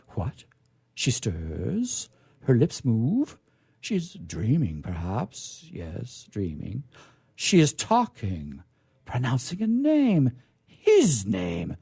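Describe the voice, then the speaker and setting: Animated, gravelly man reading a story theatrically. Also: fairly low pitch. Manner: theatrical, emphatic, with drawn-out phrases and dramatic pauses. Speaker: reader performing a literary reading. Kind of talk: dramatic narration of a vintage science fiction story.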